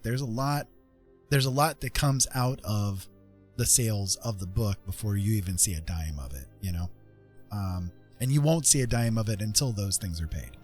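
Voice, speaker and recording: A man chatting casually on a livestream, explaining things conversationally and often checking in with the listener. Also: fairly low pitch. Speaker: streamer and self-published author.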